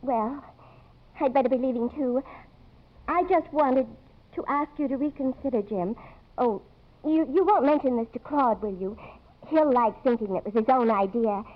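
A woman's voice: on the high side, normal speed, lightly acted. A woman playing a knowing, coaxing, fluttery college president's wife in a 1950s radio drama. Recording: muffled old 1950s radio broadcast.

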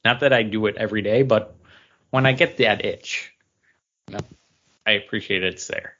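A man's voice: lowish voice, moderate pace, sharp tone, slight background noise. A man co-hosting a podcast in a casual, conversational style. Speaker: podcast co-host. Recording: dull, lo-fi podcast audio.

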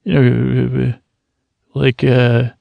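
A man's voice: medium pitch, level tone, extremely slow, gravelly, dry recording, lo-fi sound. A man hosting his own podcast, rambling and self-mocking, often laughing at his own jokes. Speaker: podcast host. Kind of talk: rambling solo podcast intro.

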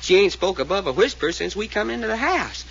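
A grumbling, folksy sidekick from an old radio serial, his high voice swinging all over as he complains. The tone is bright. The old transcription sounds lo-fi, with a faint hiss. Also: very animated, fairly quick, creaky, full character voice. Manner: folksy, ungrammatical speech. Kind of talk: 1940s radio acting.

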